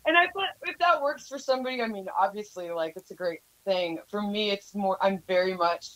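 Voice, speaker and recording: A woman singer with a deep voice for a woman, chatting casually as a guest and laughing often. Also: fairly quick, a bit bright, poor audio. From United States. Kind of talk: casual interview chat.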